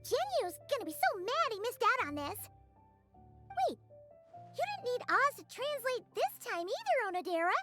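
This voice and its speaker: A woman voicing a childlike, blunt and excitable little fairy companion in a video game. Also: very high-pitched, moderate pace, loud music behind the voice. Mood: blunt, puzzled. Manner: childish, blunt, third-person self-reference. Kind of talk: video game character dialogue.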